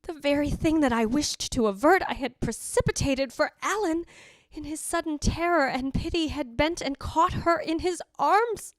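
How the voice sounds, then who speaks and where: A woman's voice: high-pitched, no room sound, close to an everyday voice. A woman playing the jealous, anguished ghost of a dead wife, narrating in a literary, theatrical old-time radio drama style. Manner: literary, theatrical, deliberate delivery.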